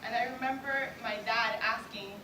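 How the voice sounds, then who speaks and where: Woman, 20s, Cuban Spanish accent, Spanish native speaker, earnest speech, fairly high voice, distant podium recording. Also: steady tone, moderate pace. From Cuba. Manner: earnest, with light self-deprecating laughs. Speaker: student award speaker. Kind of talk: prepared acceptance speech. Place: large event hall. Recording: echoey hall recorded from far off, noisy.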